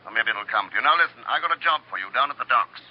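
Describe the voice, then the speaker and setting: An actor playing a cool, dryly witty secret agent in an old radio drama, brisk and unruffled. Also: male voice, mid-range voice, expressive, slightly rough. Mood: wryly amused. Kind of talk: 1940s radio drama acting. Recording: dull old radio recording, faint noise.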